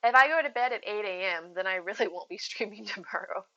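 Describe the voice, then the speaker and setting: Bright-voiced woman chatting on stream. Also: medium pitch, quick pace, muffled recording. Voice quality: soothing voice. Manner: chatty, rambling, giggly, addresses chat by name. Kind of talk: casual live-stream chat.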